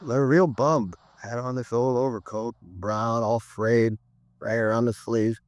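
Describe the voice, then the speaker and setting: Actor as a talkative, halting store manager. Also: male voice, mid-range voice, steady tone, close to an everyday voice. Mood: rattled, earnest. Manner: colloquial, halting, rambling witness talk. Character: male liquor store manager, talkative, slightly irked robbery victim. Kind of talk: 1950s radio crime drama acting.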